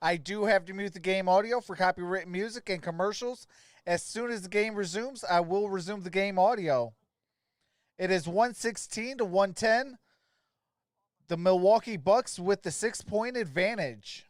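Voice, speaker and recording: High-pitched man hosting a sports stream. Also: normal speed, dry recording, studio quality. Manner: informal, addressing viewers by name. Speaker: livestream host doing play-by-play. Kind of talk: casual live sports commentary, chatting with viewers.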